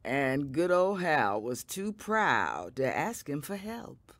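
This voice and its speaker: Slow, swooping folksy farm wife. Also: female voice, deep voice, sing-song, no echo, exaggerated voice. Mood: amused storytelling. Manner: folksy, teasing. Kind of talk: radio drama acting.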